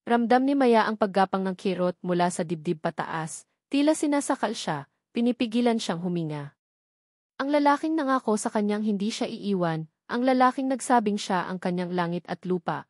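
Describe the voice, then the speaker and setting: Woman storyteller reading a dramatic romance, mid pitch, really dry studio-like sound. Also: lively intonation, moderate pace, no echo. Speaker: narrator of love stories. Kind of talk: dramatic scripted story narration.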